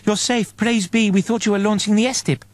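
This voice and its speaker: A man's voice: sits high, steady tone, moderate pace, dry recording, pitched above the performer's own voice, natural voice. A man voicing a fanatically devout, indignant woman on a spaceship crew in an audiobook, declaiming piously. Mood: fervent relief.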